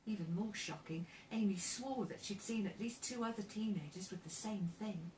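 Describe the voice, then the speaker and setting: A woman's voice: medium pitch, moderate pace, warm tone. Woman reading a story in an echoey room. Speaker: author reading own novel aloud. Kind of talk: expressive children's story narration. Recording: roomy, distant mic, faint background noise.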